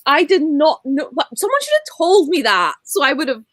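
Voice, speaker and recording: A woman with a moderately high voice chats about books as a guest. Her talk is loose and rambling, full of quoted bits. The recording is close and dry. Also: huge pitch swings, normal speed, a bit bright, dry recording. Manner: rambling, informal, frequent quoting. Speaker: guest on a book readalong livestream. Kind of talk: casual group book chat.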